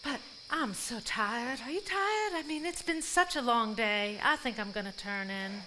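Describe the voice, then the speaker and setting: A woman's voice: fairly high pitch, animated, normal speed, sharp tone. An actress playing a kindly, polite woman in a live stage drama. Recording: noisy live stage recording.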